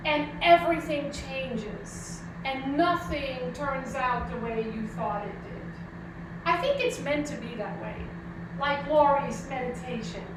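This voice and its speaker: A woman pastor with a high-pitched voice delivers a conversational, reflective sermon. She is picked up from a distance in a very echoey room, with clear noise in the recording. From Netherlands. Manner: conversational, reflective, addresses listeners with questions. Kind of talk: informal spiritual sermon to a congregation. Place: church service hall, indoors.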